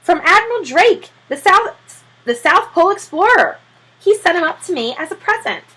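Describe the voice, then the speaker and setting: A woman's voice: extremely high pitch, big pitch swings, moderate pace, fairly bright tone, pitched above the performer's own voice, exaggerated voice, rough recording. A woman voicing an earnest, proud man who explains things eagerly in a children's storybook. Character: earnest, proud middle-class man with pet penguin.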